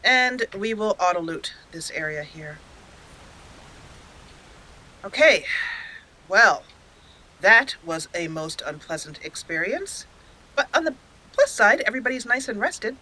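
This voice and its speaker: A woman hosting a gaming channel, giving expressive roleplay commentary and storytelling while talking to the game characters. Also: medium pitch, measured pace, long pauses, low-quality recording.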